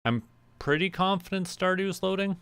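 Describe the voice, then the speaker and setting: A man's voice: high-pitched, animated, moderate pace, fairly bright tone, clear, resonant tone, no room sound. A man chatting casually on a livestream, rambling to himself as he goes about small tasks. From Canada. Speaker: streamer.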